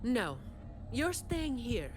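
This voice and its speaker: A woman's voice: on the high side, very animated, drawn-out words, a little hiss or hum, heavily acted. An actress voicing a stern, composed, protective young woman fighter in a video game, cool and businesslike. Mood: firm, concerned.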